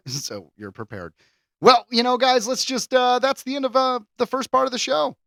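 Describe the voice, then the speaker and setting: A man's voice: very high-pitched, expressive, moderate pace, vocal fry, pushed and bright, no room sound, clean studio sound. A man in his thirties co-hosting a podcast, joking around, laughing often and swearing casually. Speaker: podcast co-host. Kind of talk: casual comedic banter.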